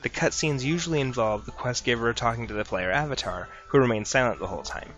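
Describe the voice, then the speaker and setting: A man's voice: medium pitch, quick pace, fairly bright tone, highs cut off. A man narrating scripted video game commentary as a reviewer, with music in the background.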